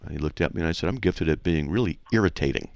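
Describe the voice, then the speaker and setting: Deep gravelly man hosting a radio show. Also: quick pace, a little mellow, no echo. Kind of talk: casual conversational teaching and anecdotes.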